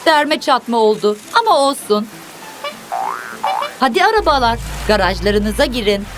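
A woman's voice: on the high side, big pitch swings, normal speed. A woman narrating a children's cartoon, speaking expressively in simple, instructive phrases as if to young viewers and characters. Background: music.

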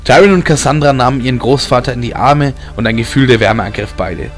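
A man's voice: medium pitch, fairly quick. Man narrating a fantasy audiobook over music. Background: background film music.

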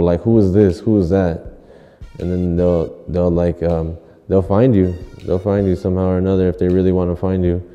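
A man's voice: sits low, mellow. A man, a graffiti artist talking in an interview in laid-back street slang, full of restarts. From Los Angeles. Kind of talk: interview monologue. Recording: long hall reverb, faint music.

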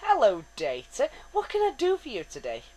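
A woman voicing a warm female counselor who speaks in a soft, welcoming way. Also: fairly high pitch, wildly swooping pitch, moderate pace, pitched above the performer's own voice. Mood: inviting.

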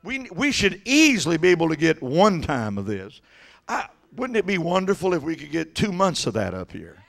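A middle-aged man preaching an impassioned Pentecostal sermon into a handheld microphone, exhorting and swinging between conversational asides and shouts. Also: fairly high pitch, wildly swooping pitch, normal speed, vocal fry. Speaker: evangelist preaching. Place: church sanctuary stage at a pulpit.

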